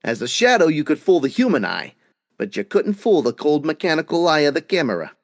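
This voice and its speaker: Man playing a smug gangster boss, swooping pitch. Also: very animated, brisk, no echo, clean studio sound. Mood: smug, boastful. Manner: taunting, tough-talking, mock-polite. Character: cunning, smug male gangster boss, cool blackmailer. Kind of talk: old-time radio drama acting.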